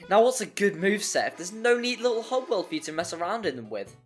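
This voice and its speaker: A man's voice: high voice, very animated, fast talker. A man narrating a scripted video game review in a wry, jokey manner, given to exaggeration. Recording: voice-over with music behind.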